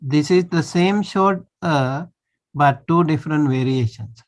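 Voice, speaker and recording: Monk teacher on a video call, slow and patient, slightly high voice, keeps checking understanding. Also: male voice. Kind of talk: online language class.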